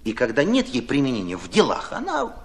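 Earnest, ardent commissar, animated. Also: male voice, mid-range voice. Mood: earnest, thoughtful. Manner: impassioned, deliberate, rhetorical phrasing. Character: earnest, ardent Red Army commissar reporting to commander. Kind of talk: radio drama acting.

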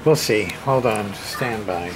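A man giving a casual hands-on repair demonstration, thinking aloud in a hesitant, halting way as he goes. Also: lowish voice. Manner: hesitant, halting, muttering to himself. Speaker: hobbyist radio repair presenter. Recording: clear background room noise.

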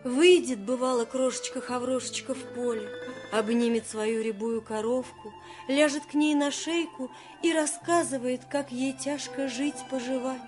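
Female narrator, raised pitch, expressive folk-tale storytelling, light music bed. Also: a little slow. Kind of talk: scripted fairy-tale narration.

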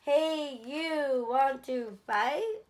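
A woman's voice: high voice, extremely slow, pitched above the performer's own voice. A woman voicing a grouchy, boastful little ladybug that brashly calls out for a fight, from a children's storybook. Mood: brash challenge. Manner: brash, challenging, calling out.

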